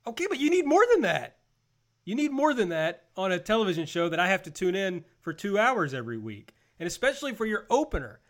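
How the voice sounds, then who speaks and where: Higher-voiced man hosting a talk show. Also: very animated, fairly quick. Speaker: host of a wrestling review show. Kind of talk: casual panel discussion and recap.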